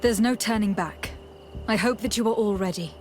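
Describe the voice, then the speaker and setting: Actress doing a noble lady leader in a game, calm n formal, gives orders, middle pitched voice. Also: a little hiss or hum, close to an everyday voice. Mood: composed, commanding. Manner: formal, measured, commanding. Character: noble female envoy, composed young leader giving orders. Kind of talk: scripted video game voice acting.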